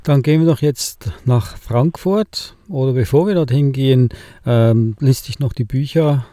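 Dark, dry-voiced male radio host. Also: mid-range voice, a little slow, no room sound. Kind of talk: studio presenting.

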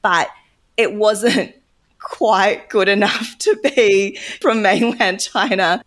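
A woman's voice: medium pitch, slow pace, fairly bright tone. A woman talking thoughtfully in a casual discussion, often hedging with "kind of". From Australia.

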